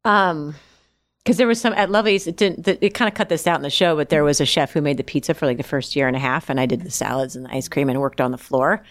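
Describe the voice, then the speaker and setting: A woman's voice: low-pitched, fast, no room sound. A woman chef and restaurant owner answering interview questions in a loose, rambling way, with frequent restarts and fillers.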